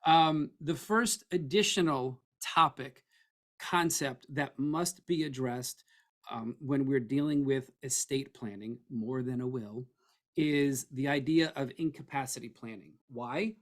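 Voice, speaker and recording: A middle-aged man with a hoarse, froggy voice, presenting an estate planning webinar in a conversational way that keeps checking in with "okay?". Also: medium pitch, a little slow, fairly bright tone. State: hoarse, losing his voice.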